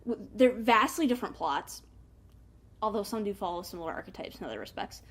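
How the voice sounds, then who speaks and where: A woman hosting a book review in a casual, chatty way, with animated emphasis and wry asides. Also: mid-range voice, brisk. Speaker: book review show host.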